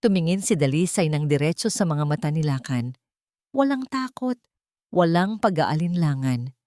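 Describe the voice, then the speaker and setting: Female storyteller, slightly low register, dramatic scripted read, dry studio-like sound. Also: lively intonation, moderate pace, mellow, no room sound. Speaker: story narrator.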